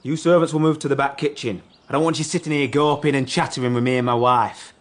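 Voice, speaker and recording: A man's voice: mid-range voice, fairly even intonation, quick pace, close to an everyday voice. A man playing a bullying young master of the house in a radio period drama, domineering and barking orders.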